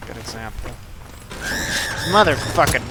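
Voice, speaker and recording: A man's voice: mid-range voice, animated, a little slow, noisy background. An American man giving casual, rambling, wry gameplay commentary. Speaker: gamer, let's play host. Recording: loud game gunfire behind voice. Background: video game gunfire and ambience.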